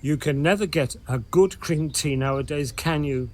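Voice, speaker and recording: An actor playing a mild, cheerful, henpecked husband in an audio pantomime, politely understated. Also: male voice, fairly high pitch, moderate pace, a little hiss or hum, lightly acted. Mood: genial.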